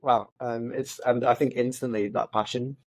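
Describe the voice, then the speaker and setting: A man hosting a podcast interview, asking questions and encouraging his guest with soft backchannels. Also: mid-range voice, steady tone, moderate pace, no echo. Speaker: podcast host.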